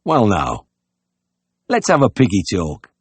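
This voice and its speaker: A man's voice: on the low side, wildly swooping pitch, slow, breathy edge, no echo, full character voice. A man voicing an anxious, pig-obsessed older country innkeeper in an audiobook, earnest and plain-spoken with rustic phrasing. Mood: contented.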